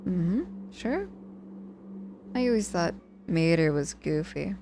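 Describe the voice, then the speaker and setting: Unhurried, low-voiced wry young witch acted by a woman. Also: expressive, mellow, soft background music. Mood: playful, grudging. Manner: casual, teasing, feigned reluctance. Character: young woman witch, sardonic, gruffly caring hospital patient. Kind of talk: intimate ASMR audio roleplay.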